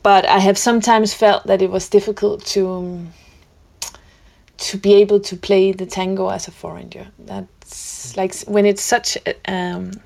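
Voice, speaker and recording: Gravelly woman, hesitant and thoughtful. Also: medium pitch, little pitch movement, moderate pace, darkish tone. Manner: hesitant, with many fillers and restarts. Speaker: guest musician. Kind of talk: casual conversation.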